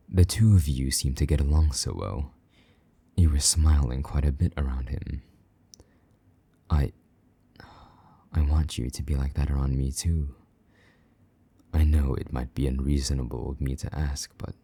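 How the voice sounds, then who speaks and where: A man voicing a possessive, jealous vampire knight in an intimate audio roleplay, speaking in a low murmur that drops into soft whispers, teasing and a little gruff. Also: measured pace, pauses often, no room sound, heavily acted. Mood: tender.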